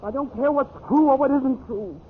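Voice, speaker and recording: A woman's voice: high voice, moderate pace, natural voice. An American actress in a vintage radio drama, playing an anxious woman pleading urgently with her brother. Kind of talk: 1940s-50s radio drama acting. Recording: tinny, noisy old radio recording.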